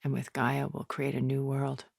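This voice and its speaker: A cultured, centuries-old female game villain with a low, gravelly voice. She speaks with polished, deliberate intensity, calculating yet full of regret. The sound is dry, with no room around it. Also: monotone, a little mellow, no room sound, full character voice. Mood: grave, ominous. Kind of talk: dramatic video game voice acting.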